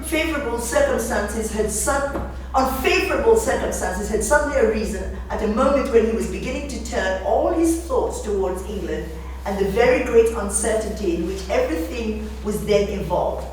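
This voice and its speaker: A woman's voice: fairly high pitch, expressive, normal speed. A woman giving an informal lecture, speaking emphatically and conversationally, with rhetorical questions and repeated phrases. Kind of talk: informal lecture with readings from a novel. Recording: very echoey room, distant mic, faint noise.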